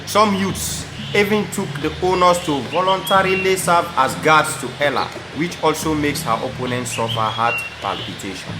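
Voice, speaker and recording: A man's voice: fairly high pitch, moderate pace, hardly any pauses, noisy background. A man with a Sierra Leonean accent narrating a scripted audio drama. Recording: echoey room. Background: music.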